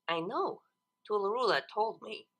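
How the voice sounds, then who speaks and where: A woman's voice: medium pitch, animated, measured pace, fairly bright tone, voice pushed up. A woman voicing a grumbly but indulgent female pony in a story, speaking in a dry, understated way. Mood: knowing, mild.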